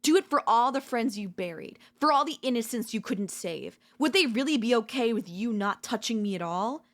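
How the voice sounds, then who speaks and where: A woman voice-acting an obsessive, unhinged yandere in a dark ASMR audio roleplay, theatrical and taunting, mocking and seductive. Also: a little high, animated, normal speed. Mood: taunting, demanding. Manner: theatrical, taunting, swinging between intimate murmurs and intense outbursts.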